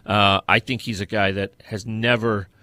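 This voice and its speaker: A man's voice: lowish voice, little pitch movement, normal speed, bright, forceful tone, no echo, clean studio sound. A man co-hosting a sports podcast, giving blunt, opinionated takes on football in a conversational style.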